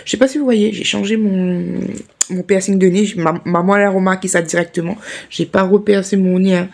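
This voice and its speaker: A woman's voice: mid-range voice, steady tone, brisk, vocal fry. A woman with a sore throat, chatting casually to camera in a rambling vlog with many restarts. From Réunion. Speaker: vlogger.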